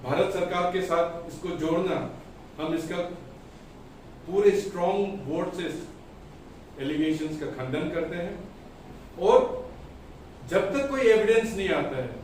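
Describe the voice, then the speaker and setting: A man delivers a political press statement as a party spokesperson, emphatically and deliberately in short phrases. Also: sits high, expressive, darkish tone. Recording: echoey room, distant mic, faint noise.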